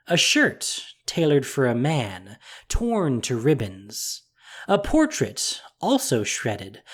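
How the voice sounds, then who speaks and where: A narrator reading a fantasy story in a measured, expressive storytelling voice. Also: mid-range voice, breathy edge.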